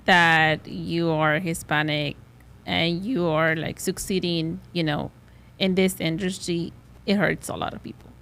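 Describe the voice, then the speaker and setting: A woman's voice: on the low side, fairly even intonation, a little slow, fairly bright tone. A woman with a Spanish accent, a realtor talking casually on a podcast with lots of "you know" and "like" fillers.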